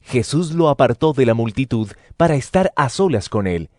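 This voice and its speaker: A man's voice: mid-range voice, animated, normal speed, darkish tone, no room sound, studio quality. A man narrating scripture from a script for an audio drama.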